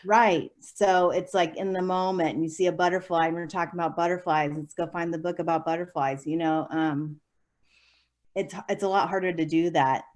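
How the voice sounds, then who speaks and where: A woman's voice: fairly low pitch, steady tone, normal speed, darkish tone. A young adult woman, a teacher, answering interview questions in a chatty way with many fillers and light laughs. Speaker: special education preschool teacher.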